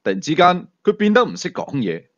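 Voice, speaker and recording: Expressive Hong Kong Cantonese male storyteller, slightly muffled. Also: sits high, a little fast. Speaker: audiobook storyteller. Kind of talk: dramatic narration of a thriller story.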